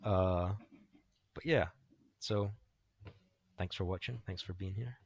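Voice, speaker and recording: Young podcast host, deep, slightly hoarse, loose rambling delivery, dry close sound. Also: male voice, takes it slowly, no echo. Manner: rambling, filler-heavy, swears casually. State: voice getting hoarse. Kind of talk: casual film chat.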